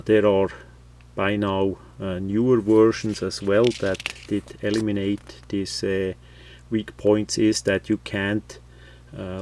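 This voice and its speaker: Slow-talking man with a low voice. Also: a little mellow. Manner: halting, matter-of-fact explaining with frequent pauses. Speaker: product reviewer. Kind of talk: unscripted gadget review commentary.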